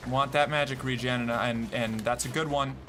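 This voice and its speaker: A man streaming a video game, reacting out loud, cursing, and talking to himself as he plays. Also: medium pitch, steady tone, brisk, noisy background. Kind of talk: gameplay commentary. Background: game music.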